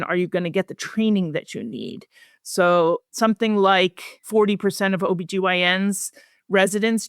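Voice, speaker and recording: A woman speaking as an expert guest in an interview, explaining research findings in a measured, serious, explanatory way. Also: mid-range voice, clean tone, no room sound, studio quality.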